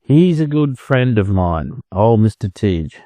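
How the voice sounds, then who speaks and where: A scruffy young farmyard chicken, acted out by a man in a storybook. He is bold and rough-spoken, with a lively, jumping pitch and a close, dry sound. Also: takes it slowly, dry recording. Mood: incredulous, boastful. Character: scruffy bold half-grown male farmyard chicken, brash.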